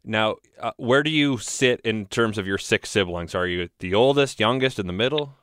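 Low smooth male interviewer. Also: moderate pace, fairly bright tone, no echo, studio quality. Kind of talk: interview questions.

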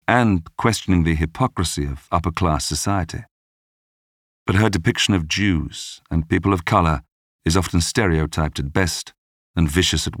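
A man narrating a scripted documentary podcast in a measured, polished storytelling manner. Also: low voice, dry recording, clean studio sound.

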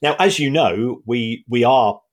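A man co-hosting a casual football podcast, speaking in halting phrases full of restarts, with measured indignation. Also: mid-range voice. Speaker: podcast co-host.

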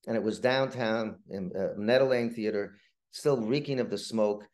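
Halting middle-aged man telling stories. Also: on the low side, darkish tone. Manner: halting, rambling anecdotes with frequent repeated words. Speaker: playwright, interview guest. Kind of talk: conversational interview storytelling.

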